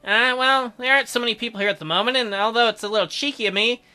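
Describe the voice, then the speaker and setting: A man voicing a gentle, flustered doctor in a visual novel, stammering and hesitant as he talks. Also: very high voice, fast, smooth, faint music behind, pitched above the performer's own voice, exaggerated voice. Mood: sheepish. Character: gentle, flustered male doctor, self-described old man.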